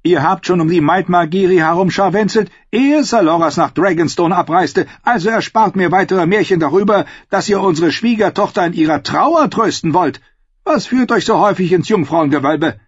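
A cold, scornful queen in an audiobook, firing off sharp, contemptuous questions, as a male reader puts her on. The voice sits a touch high and husky, in a dry, slightly dull recording. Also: normal speed, no echo.

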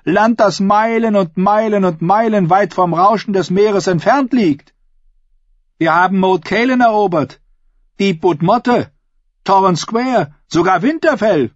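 A man's voice: high-pitched, unhurried, no room sound, dull audio, voice pushed up. A man voicing a bold, defiant young noblewoman in a dramatic audiobook, with a declamatory, challenging delivery.

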